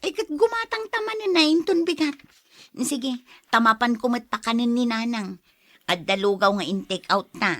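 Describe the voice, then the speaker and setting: Casting: actress as a worn-out wife and carer, grumbling and exasperated, fairly high voice, radio drama. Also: female voice, animated, moderate pace, fairly bright tone, dry recording. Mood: brusque. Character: weary, exasperated wife caring for her ailing mother.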